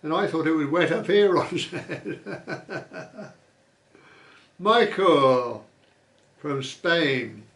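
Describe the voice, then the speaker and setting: A man hosting a vlog, reading viewer comments aloud and musing on them in an easygoing way, often pausing with "uh". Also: on the high side, lively intonation, fairly bright tone, echoey room. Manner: chatty, musing aloud, many "uh" fillers. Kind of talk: casual chat.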